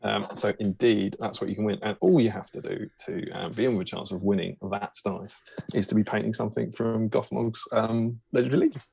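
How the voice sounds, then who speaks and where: A man hosts a casual live stream, rambling and joking as he goes. His voice sits low with a husky, gravelly grain. He fills his talk with ums and quick asides. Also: steady tone, dark tone, dry recording, low-quality recording. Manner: jokey, rambling, full of fillers. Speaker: host.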